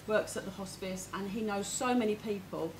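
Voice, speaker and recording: A woman with a big, carrying voice talks in a chatty, familiar way, like someone updating supporters informally. Her pitch is average, and there is clear noise in the room behind her. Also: moderate pace, a little mellow. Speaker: hospice fundraising representative. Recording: noisy room ambience.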